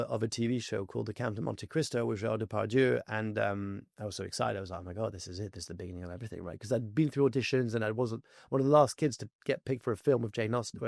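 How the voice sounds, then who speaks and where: A man in his forties from France, telling stories as a podcast guest in a fast, animated way with many fillers. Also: fairly low pitch, gravelly, dry recording, clean studio sound. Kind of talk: casual interview chat.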